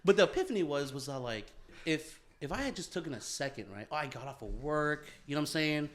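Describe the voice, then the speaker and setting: A man's voice: a little high, animated, fast. A young man co-hosting a podcast in casual chat, rambling and swearing, often laughing and repeating himself. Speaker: podcast co-host.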